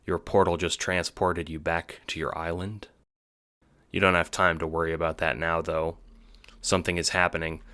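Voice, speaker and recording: A man's voice: sits low, flat delivery, moderate pace, bright, forceful tone. A man narrating an audio drama in a dramatic, scripted storytelling style, with music behind him.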